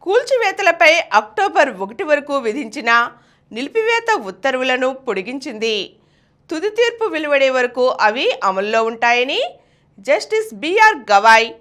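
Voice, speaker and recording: Female news reader, high voice, very animated intonation, formal scripted delivery. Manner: formal, announcing headlines and reports.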